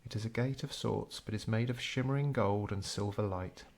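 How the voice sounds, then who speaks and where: A man narrating a scripted guided meditation in a measured, unhurried storytelling way. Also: on the low side, creaky, a little mellow.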